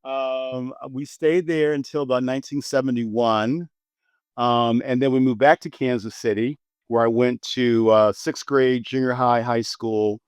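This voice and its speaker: A man's voice: medium pitch, measured pace, smooth, no echo. An older American man in his sixties tells his story as a guest physician, his voice rising and falling with animation. The sound is dry and close, with no room around it. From United States. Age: 60s. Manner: animated storyteller, repeats words when excited. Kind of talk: conversational interview storytelling.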